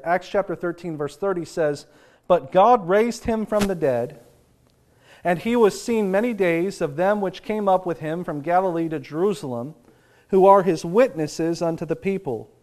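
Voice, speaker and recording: A man preaching a sermon, sounding congested and nasal from a sinus infection, slowing to stress key phrases. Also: high-pitched, a little mellow.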